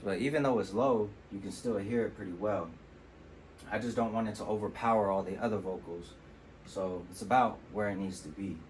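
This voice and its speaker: A man with a deep voice, casually walking through how he mixes his own vocals in a relaxed, explanatory way. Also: brisk, soft background music, low-quality recording. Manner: relaxed, explanatory, with fillers like "you know". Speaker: music producer demonstrating vocal mixing. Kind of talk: casual tutorial walkthrough. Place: temporary home studio.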